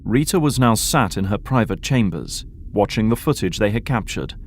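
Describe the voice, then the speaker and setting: A man's voice: on the low side, expressive, normal speed. A man reading scripted narration for an audio drama. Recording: faint background noise.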